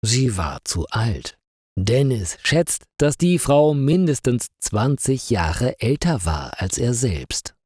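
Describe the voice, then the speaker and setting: A man narrating a scripted story for language learners in a careful, deliberate way. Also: mid-range voice, big pitch swings, a little mellow, dry recording, studio quality. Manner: careful, clearly paced for learners.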